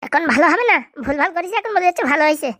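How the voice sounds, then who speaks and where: A woman's voice: very high-pitched, racing, sharp tone, no room sound, studio quality. A woman playing a bitter, nagging village wife in a comedy cartoon, scolding and complaining sarcastically. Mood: sarcastic scolding.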